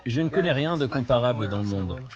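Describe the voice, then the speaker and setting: A man's voice: medium pitch, expressive, quick pace, dull audio. A man giving short translated interview remarks as a tourist.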